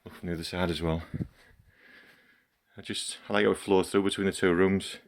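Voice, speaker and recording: A middle-aged man with a croaky voice from a cold, chatting casually in a home vlog as he works and comments on it. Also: low-pitched, level tone, brisk. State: hoarse from a cold. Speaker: gaming channel host.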